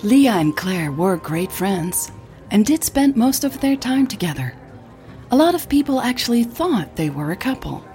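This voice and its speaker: Expressive woman narrating audio fiction. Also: medium pitch, moderate pace, soft background music. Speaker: podcast host and narrator.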